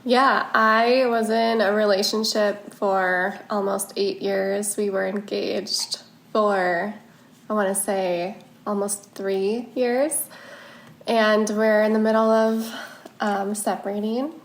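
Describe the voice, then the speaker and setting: A woman's voice: medium pitch, flat delivery, takes it slowly, vocal fry, roomy sound, low-quality recording. A woman of thirty, a podcast guest, talking candidly in casual conversation. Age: 30s.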